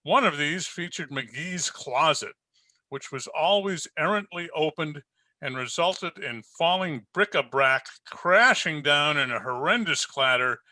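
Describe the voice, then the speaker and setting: Very bright, crisp-edged male radio announcer. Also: fairly high pitch, no echo. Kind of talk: scripted old-time radio narration. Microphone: video-call recording.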